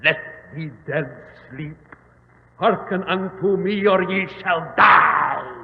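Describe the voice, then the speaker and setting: Casting: menacing pharaoh's ghost, very slow, solemn and archaic, drowned in long reverb, vintage radio drama. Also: male voice, sits high, creaky, heavily acted. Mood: menacing, doom-laden. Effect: echoing reverberation. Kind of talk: 1940s radio acting. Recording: reverberant, tinny, noisy old radio recording.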